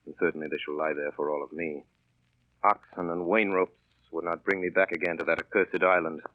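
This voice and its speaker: An actor playing a grown man who grimly looks back on a boyhood treasure voyage, in measured 1930s radio drama narration. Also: sits low, level tone, phone-quality sound, natural voice. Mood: grim, reflective. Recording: thin, dry 1930s radio broadcast recording.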